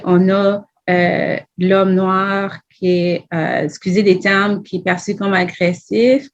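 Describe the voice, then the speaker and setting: A woman, a podcast guest speaking earnestly in a panel discussion. She builds her points one after another and presses with questions. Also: mid-range voice. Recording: dull lo-fi audio.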